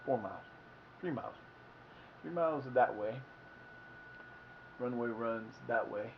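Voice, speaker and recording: Fifties Ohio man, livestream host, chatty and wandering, mid-pitched voice on dull lo-fi audio. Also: unhurried, a little hiss or hum. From Ohio, United States. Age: 50s. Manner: meandering, self-correcting, folksy. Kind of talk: rambling casual storytelling.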